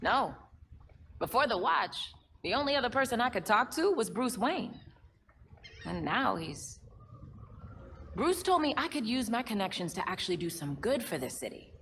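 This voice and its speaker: An actress playing an earnest, well-meaning artist in a video game, candid and expressive. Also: female voice, on the high side, normal speed, long pauses, slight background noise. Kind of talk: video game cutscene acting.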